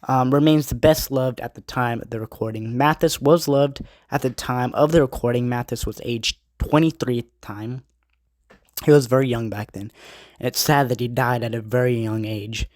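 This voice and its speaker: A man's voice: medium pitch, steady tone, darkish tone, dry recording, studio quality. Stuffy-nosed man rambling on a podcast. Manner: rambling, halting, with many asides and self-corrections. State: stuffy nose from a cold. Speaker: podcast host. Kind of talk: casual podcast monologue reading album facts.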